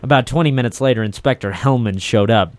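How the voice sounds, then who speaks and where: A man playing a cynical, wisecracking waterfront troubleshooter in late 1940s noir radio style, deadpan and sardonic. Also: medium pitch, quick pace, no room sound, natural voice. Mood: wry, deadpan. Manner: hard-boiled, sardonic, deadpan. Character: cynical wisecracking male private troubleshooter of the docks. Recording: old 1940s radio drama recording.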